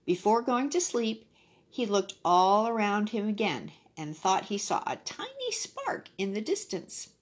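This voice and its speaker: A woman tells a children's story with very animated, swinging intonation. Her voice has a husky edge and she drops in brief casual asides. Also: medium pitch, unhurried.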